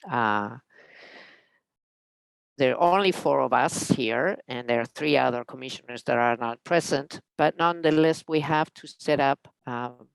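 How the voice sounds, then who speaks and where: Middle-aged Spanish-accented woman, gravelly voice. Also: extremely low pitch, unhurried, no echo, rough recording. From Spain. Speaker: commission chair. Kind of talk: chairing a public meeting.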